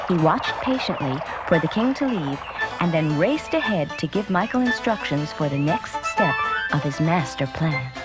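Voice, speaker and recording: A woman's voice: low voice, sing-song, moderate pace, loud music behind the voice. A woman storyteller delivering scripted fairy-tale narration for a cartoon.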